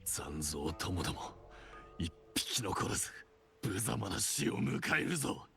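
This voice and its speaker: A man's voice: sits low, big pitch swings, moderate pace, vocal fry, a bit bright, faint music behind, heavily acted. An actor playing a bitter, defiant male warrior with a scornful, intense manner in an anime game. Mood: bitter, threatening. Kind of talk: anime game voice acting in Japanese.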